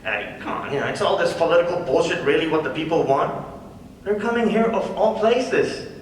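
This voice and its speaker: A man's voice: on the high side, expressive, moderate pace, lightly acted. A young man, a student actor in a staged script reading, playing a cocky, flippant stand-up comedian with a casual, conversational delivery. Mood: defensive. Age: young adult. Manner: casual, conversational with rhetorical tag questions. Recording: echoey hall from far off, faint noise.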